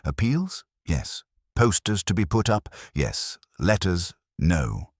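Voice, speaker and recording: A man voicing an officious, moralising busybody woman with a brisk, self-important manner in a mystery story. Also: deep voice, big pitch swings, husky, dry recording, studio quality, pitched above the performer's own voice, heavily acted. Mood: firmly indignant.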